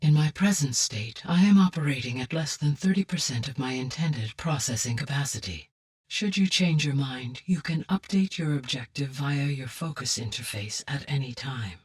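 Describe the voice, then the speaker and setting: A calm, caring female artificial intelligence from a video game. Her low voice is husky and sounds slightly distant. She speaks in formal, precise sentences with measured composure. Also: full character voice. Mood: composed, measured. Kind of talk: measured, scripted game dialogue.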